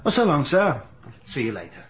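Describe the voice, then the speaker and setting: A brash young newspaper reporter in a radio play, chatty and pushy. His voice sits mid-pitch but swings up and down with lively intonation. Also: brisk. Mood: breezy. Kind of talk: 1980s BBC radio drama acting.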